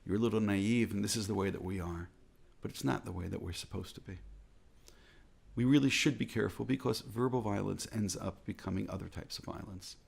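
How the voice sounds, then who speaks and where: An American man speaks as a rabbi in a measured, earnest reflective talk. His voice is heavily gravelly with a husky edge. He leans on repeated phrases to drive his points home. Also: lowish voice. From United States.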